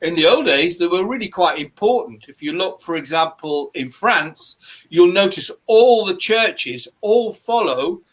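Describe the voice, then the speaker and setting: A man speaks on an online panel over a video call. His voice is slightly high for a man, and he explains ideas in a relaxed, chatty way. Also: a little slow. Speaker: panelist and fantasy author. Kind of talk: casual panel talk.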